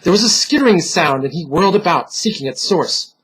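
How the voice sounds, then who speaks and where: A man giving a solemn, theatrical reading of a gothic horror story, deliberate and dropping to hushed tones. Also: high voice, expressive, husky, rough recording. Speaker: storyteller, reader.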